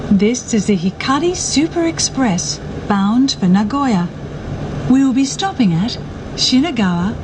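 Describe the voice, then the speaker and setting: Lilting, higher-voiced woman announcing on a train. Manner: formal, courteous, carefully enunciated. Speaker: English-language train announcer. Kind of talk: scripted pre-recorded train announcement. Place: played inside a moving train carriage. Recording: onboard train speaker with clear vehicle noise. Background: train rumble and cabin noise.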